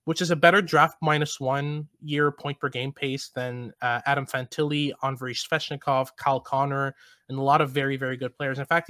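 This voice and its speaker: A man's voice: a little high, fairly bright tone, dry recording, studio quality. A young man hosting a podcast, analyzing draft prospects with frequent ums and restarts. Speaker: podcast host.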